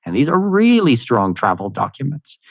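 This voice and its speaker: A man from Canada, a podcast guest answering questions in an upbeat, energetic way, with plenty of "you know" fillers. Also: mid-range voice, moderate pace, creaky, no echo, clean studio sound. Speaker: guest, expat consultant. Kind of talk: conversational interview answers.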